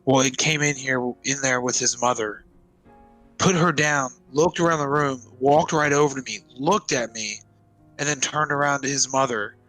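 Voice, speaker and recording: A man's voice: medium pitch, steady tone, moderate pace, a bit bright, rough recording. A man talking casually as a roleplay player, narrating his character's actions and lines in an informal, exasperated way. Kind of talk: casual in-game narration and dialogue. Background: music.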